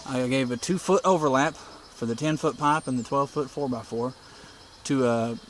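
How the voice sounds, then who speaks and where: A man's voice: medium pitch, moderate pace. Plainspoken man, noisy outdoor recording. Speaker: hobbyist presenter. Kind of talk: casual explanatory walkthrough. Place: outdoors beside a house porch. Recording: outdoor recording with clear insect and bird noise. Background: insects, birds, outdoor ambience.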